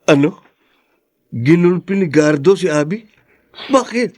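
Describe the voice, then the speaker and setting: An earnest, protective man in a radio drama. His lively intonation carries concern and questioning, recorded close and dry. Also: fairly high pitch, measured pace, no room sound. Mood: shocked concern. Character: Desto, concerned, reasoning, protective male friend. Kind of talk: Tagalog radio drama acting.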